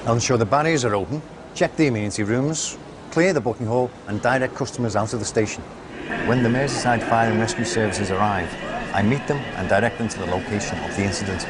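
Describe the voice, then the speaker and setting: A man acts as an experienced station supervisor in a staff training film, speaking in a low voice. He instructs a new recruit calmly and methodically, in a scripted way. Loud ambient noise of an urban site sits behind him. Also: close to an everyday voice. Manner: methodical, checks understanding.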